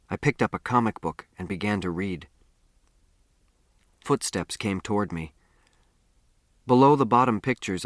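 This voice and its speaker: A man with a fairly low voice narrates a story in a very dry, close space. He reads scripted first-person prose with expressive storytelling. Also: no echo. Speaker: audiobook narrator.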